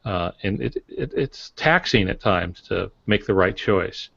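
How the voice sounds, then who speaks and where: Homem de voz grave e seca. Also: male voice, sits low, unhurried, gravelly, breathy edge, no room sound. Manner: relaxed, thoughtful, many fillers. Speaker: podcast co-host, author. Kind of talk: casual podcast chat. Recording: dull lo-fi audio.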